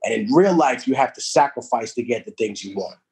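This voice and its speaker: Husky-voiced man from Peru, storytelling. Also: medium pitch, fairly quick. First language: Spanish. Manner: reflective storytelling, street slang, animated asides. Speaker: guest. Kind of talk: casual podcast conversation.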